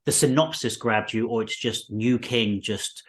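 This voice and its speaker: A man's voice: lowish voice, unhurried. A man co-hosting a book podcast in casual, conversational chat. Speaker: book review podcast co-host.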